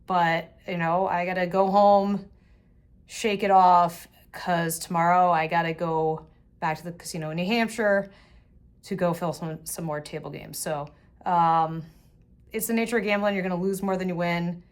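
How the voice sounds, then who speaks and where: A woman's voice: lowish voice, normal speed. A woman in her thirties, talking casually to her phone camera in a selfie vlog, her voice a little worn, with many fillers. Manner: relaxed, chatty, frequent filler words. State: slightly losing her voice. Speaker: vlogger. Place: hotel rooms and casino lobby, indoors. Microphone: phone camera at arm's length.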